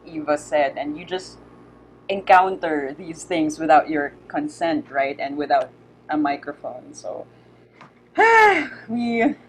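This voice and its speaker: A woman's voice: low voice, very animated, slow pace, a little hiss or hum. A woman in her thirties moderating a video-call panel, playful and reading out audience questions. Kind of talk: casual panel hosting.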